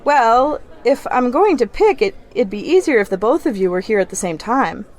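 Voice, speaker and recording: A woman's voice: on the high side, very animated, moderate pace. A woman playing a coy, mysterious, alluring and unruffled lady at a bar in 1940s radio comedy style. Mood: teasing, knowing.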